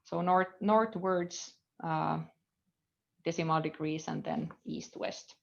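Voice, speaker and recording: A woman with a Finnish accent teaches from her computer, her lowish voice carrying a gravelly fry. She thinks aloud as she codes, trailing off and filling pauses. The sound is dull and lo-fi, like a webcam mic. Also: fairly even intonation. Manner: thinking aloud, hesitant fillers, trailing off while reading code. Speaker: university lecturer. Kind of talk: live coding screencast lesson. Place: indoor room at a computer.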